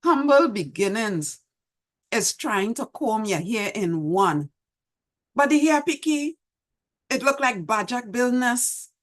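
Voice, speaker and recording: A middle-aged woman with a Trinidadian accent, hosting an online show and gently reminiscing as she reads her poem, recorded over a video call from a small room at home. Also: fairly high pitch, animated, takes it slowly. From Trinidad and Tobago. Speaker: host. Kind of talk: reflective talk and poetry reading. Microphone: video call webcam.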